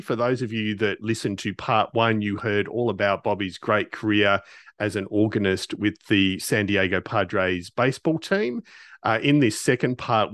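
A man's voice: on the low side, a bit bright, no room sound, studio quality. A man hosting a podcast, giving an enthusiastic spoken introduction. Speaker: podcast host.

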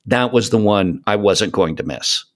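Guy w a lowish voice talking on a podcast, emphatic, says stuff twice to drive it home. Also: animated, normal speed. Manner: emphatic, repeats phrases for stress. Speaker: podcast guest, hobby voice actor. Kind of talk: casual podcast conversation.

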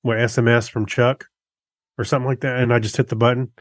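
A middle-aged man speaks as a casual podcast co-host. His voice sits at an average male pitch, and he feels his way haltingly through sentences. The recording is dry, without room sound. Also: flat delivery, no room sound. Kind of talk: casual chat about gadgets.

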